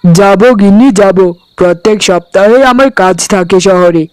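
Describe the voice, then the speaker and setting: High, clear-voiced young husband acted by a man. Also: normal speed, a little mellow, no room sound, lightly acted, low-quality recording. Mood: soothing. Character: reassuring young newlywed husband and elder brother. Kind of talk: Bengali cartoon dialogue acting.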